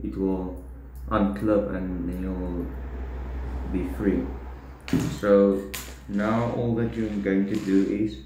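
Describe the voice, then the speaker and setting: A young man explaining step by step how to tie fishing tackle, in a halting, plain way while he works at a table indoors. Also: sits low, level tone, mellow. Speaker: fishing tutorial host. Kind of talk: step-by-step demonstration. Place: indoors at a wooden table, echoey room. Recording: roomy echo, faint room noise.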